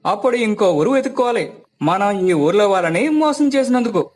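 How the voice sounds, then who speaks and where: A man's voice: high voice, animated, a little fast, faint music behind. A man voicing an earnest village strongman who bluffs about his powers in a story. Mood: worried.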